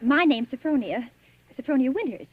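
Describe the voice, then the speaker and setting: A woman's voice: sits high, lively intonation, normal speed, husky. An actress playing a naive, giddy middle-aged spinster in a gushing, breathless, girlish manner in a 1940s radio drama. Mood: flustered, coy. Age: 40s. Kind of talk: 1940s radio drama acting, diary narration and dialogue. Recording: dull vintage 1940s radio recording.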